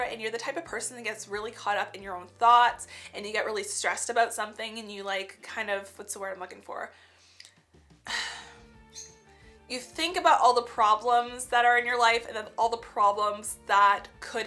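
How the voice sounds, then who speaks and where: Stuffy-voiced young woman, bright tone. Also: a little high. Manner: earnest, chatty, many "like" fillers. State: stuffy from a cold. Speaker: vlogger. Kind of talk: casual reflective vlog talk.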